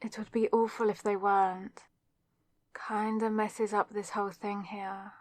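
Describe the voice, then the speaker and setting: A woman's voice: mid-range voice, level tone, a little slow, a little mellow, no room sound, lightly acted. A young woman playing a nervous, lovestruck student witch who chats earnestly to herself in an ASMR audio roleplay. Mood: focused, chatty. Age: young adult. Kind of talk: ASMR audio roleplay, scripted solo monologue.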